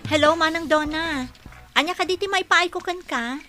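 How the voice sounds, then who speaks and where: An actress playing a polite, earnest young office worker in a radio drama, with an Ilocano Filipino accent. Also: female voice, very high-pitched, moderate pace, bright, forceful tone, faint music behind.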